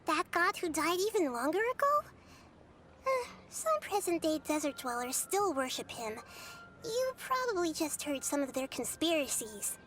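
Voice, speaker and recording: A woman voicing a gentle, wise, childlike girl deity in a video game, speaking in a thoughtful, slightly formal way. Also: very high-pitched, sing-song, moderate pace, slight background noise, heavily acted. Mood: serious, earnest.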